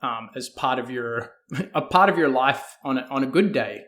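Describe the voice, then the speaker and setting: A man's voice: medium pitch, lively intonation, moderate pace, fairly bright tone. A man with an Australian accent answering as a guest in a conversational interview, hedging and thinking aloud as he goes. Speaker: guest, fitness coach.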